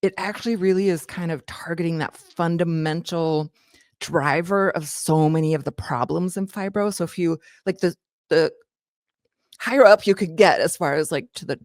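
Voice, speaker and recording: A woman's voice: on the low side, moderate pace, a little mellow, dry recording, studio quality. A woman co-hosting a health chat show and citing research with enthusiasm, using plenty of casual fillers. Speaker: co-host and author.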